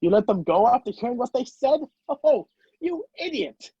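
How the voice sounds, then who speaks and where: A man's voice: extremely high pitch, sing-song, normal speed, mellow, husky, no room sound, full character voice. A man acting a wrongly accused, impatient man on the run in a radio play over a video call. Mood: baffled, exasperated. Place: video call, dry room. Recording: muffled video-call audio.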